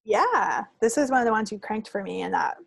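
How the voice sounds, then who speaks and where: A woman's voice: mid-range voice, animated, brisk, creaky, slight background noise. A woman co-hosting a craft podcast, chatting casually in a rambling, playful way. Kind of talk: casual chat about projects. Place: recorded at own end of a remote video call.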